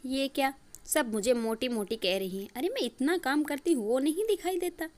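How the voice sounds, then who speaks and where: Woman acting a high, whiny young daughter-in-law. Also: lively intonation, normal speed. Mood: indignant complaining. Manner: complaining, indignant.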